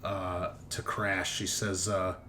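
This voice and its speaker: A man's voice: low-pitched, level tone, slow pace, bright, forceful tone, a little hiss or hum. A man acting as game master, narrating a tabletop roleplaying game in a casual, profane, bantering way. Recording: music behind the voice. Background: background music.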